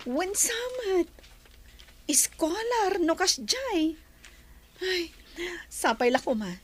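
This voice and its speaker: A village mother in a radio play. She is doting and measured, speaking slowly in a very high voice. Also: very animated, heavily acted. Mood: proud, hopeful. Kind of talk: Ilocano radio drama acting.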